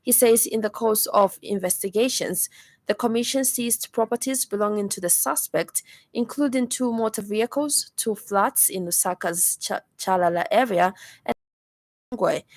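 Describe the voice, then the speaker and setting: A woman with a Zambian accent reading a scripted radio news bulletin in a formal, measured way. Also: mid-range voice, dry recording. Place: radio studio.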